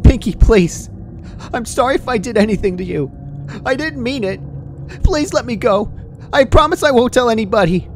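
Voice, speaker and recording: Narrator doing a scared girl pony, way high pitched, begging and breathless, noisy recording. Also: lively intonation, moderate pace, natural voice. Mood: pleading, horrified. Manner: breathless, stammering, panicked. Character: terrified young female pegasus pony, pleading desperately.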